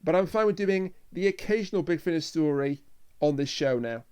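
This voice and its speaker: High-pitched man chatting about a story. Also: expressive, brisk, a little mellow, clear, resonant tone. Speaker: podcast co-host. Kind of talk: casual review discussion.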